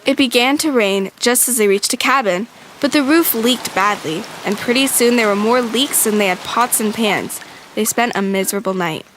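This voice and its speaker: A woman narrating a children's storybook from a script, expressive and clearly phrased. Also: fairly high pitch, moderate pace, slight background noise.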